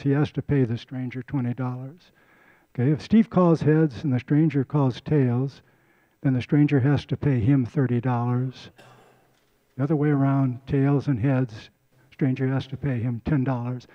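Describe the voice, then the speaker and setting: A man's voice: medium pitch, dry recording, muffled recording. An older man giving a casual community lecture on a handheld mic, often repeating and restarting words. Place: lecture room.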